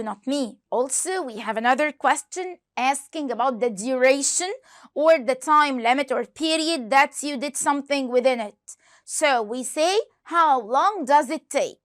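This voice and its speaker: A woman teaching an online English lesson to young students, lively and repeating each question and answer aloud. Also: high voice, no room sound, studio quality. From Egypt.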